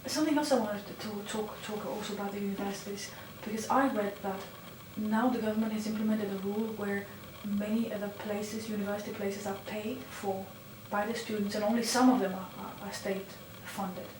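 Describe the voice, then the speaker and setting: Flat-voiced woman interviewer, far off. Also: mid-range voice, fairly quick, hardly any pauses. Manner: searching, rephrasing her questions. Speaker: interviewer, filmmaker. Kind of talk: interview questions. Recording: roomy, distant mic, background noise.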